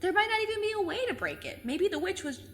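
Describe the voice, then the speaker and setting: A woman in an audio drama playing a plucky, stubborn fifteen-year-old girl who speaks emphatically and earnestly, quick to get defensive. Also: very high-pitched, sing-song, fairly bright tone, full character voice. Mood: defensive, flustered. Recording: long cave reverb, faint noise.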